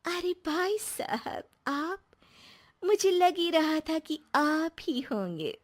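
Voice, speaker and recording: A woman's voice: extremely high pitch, animated, drawn-out words, exaggerated voice. A woman voicing a coy, polite, teasing young married neighbour in a cartoon comedy. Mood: coy, pleased. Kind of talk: Hindi cartoon comedy acting.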